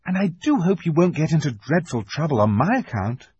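Male reader playing an excitable young girl, high-pitched and insistent. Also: a little fast, husky, dry recording, dull audio, full character voice. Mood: gentle. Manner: eager, breathless insistence. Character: earnest excitable little girl.

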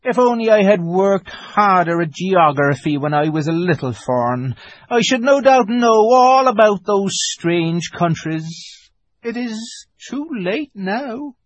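A man's voice: sits high, huge pitch swings, a little slow, fairly bright tone, no echo, highs cut off, voice pushed up, heavily acted. A man voicing a polite, fussy, eager fawn creature in a storybook, with formal, flustered courtesy. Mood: melancholy.

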